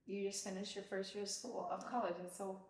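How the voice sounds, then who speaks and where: A woman's voice: mid-range voice, fairly even intonation, brisk, a little mellow. A woman from Albania hosting a podcast, telling her childhood story in a reflective, casual way with many fillers. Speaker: podcast host, mother of co-host. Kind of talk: casual personal conversation. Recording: roomy, distant from the mic.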